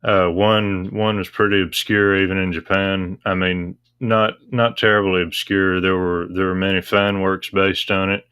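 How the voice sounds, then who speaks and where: A man giving measured, matter-of-fact explanations as a guest in a casual discussion. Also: deep voice, little pitch movement, fairly bright tone, clear, resonant tone, dry recording. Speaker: interview guest, visual novel translator.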